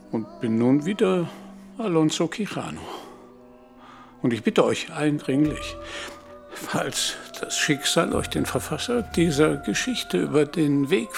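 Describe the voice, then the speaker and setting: An actor playing a dying old knight in a radio play, gentle and repentant, speaking in solemn, formal phrases. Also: male voice, fairly high pitch, expressive, creaky, over music, exaggerated voice. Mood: calm, resigned. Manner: solemn, measured, formal delivery. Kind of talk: literary radio play acting.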